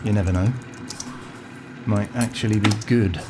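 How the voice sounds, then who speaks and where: A man's voice: on the low side, fairly even intonation, normal speed, heavy background noise, natural voice. An actor playing a wry, laconic male agent in an office-set audio drama. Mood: dry, casual.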